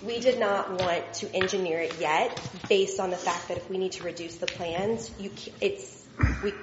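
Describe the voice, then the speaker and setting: A woman's voice: mid-range voice, steady tone, normal speed, background noise. Applicant, woman, eager to reassure, dull echoey recording. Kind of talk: responding at a public hearing. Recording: roomy, lo-fi recording with faint ambience.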